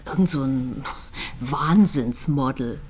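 An actress playing a composed police detective in a naturalistic crime drama, probing and measured in conversation. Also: female voice, rumbling low, darkish tone, a little hiss or hum. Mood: amused, gossipy.

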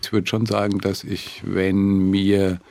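Deep gravelly guy in his 50s, therapist type, dry studio sound, takes his time. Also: monotone, slow, a little mellow, no room sound. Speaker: psychiatrist and therapist guest. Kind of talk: casual discussion.

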